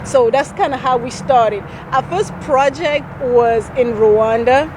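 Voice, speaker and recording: A Cameroon-born woman in her thirties talks about her work in an earnest, halting way. She often restarts her sentences. Her voice sits a bit high, with faint street noise behind her. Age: 30s. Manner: earnest, with frequent restarts and laughs. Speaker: nonprofit founder. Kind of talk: conversational interview answers.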